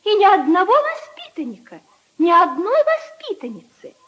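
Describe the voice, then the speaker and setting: Very high-voiced, flirtatious society lady. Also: wildly swooping pitch, moderate pace, fairly bright tone, full character voice. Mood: exaggerated lament. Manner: theatrical, coquettish, sighing emphasis. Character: flirtatious, gushing society lady fussing over charity work. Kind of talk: 1950s Soviet radio drama acting.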